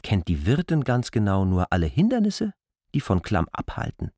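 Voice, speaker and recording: Striving outsider, radio drama, argumentative, rather low voice, highly animated. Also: male voice, normal speed, no echo, studio quality, heavily acted. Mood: insistent. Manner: argumentative, rhetorical questioning. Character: defiant, striving male outsider pleading his case. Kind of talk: German radio play acting.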